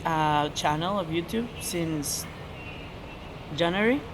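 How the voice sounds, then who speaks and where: An eighteen-year-old woman hosting a casual Q&A, chatty and giggly, with loose English grammar. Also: low voice. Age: teens. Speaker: channel host. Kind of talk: casual Q&A chat. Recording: noisy background hiss.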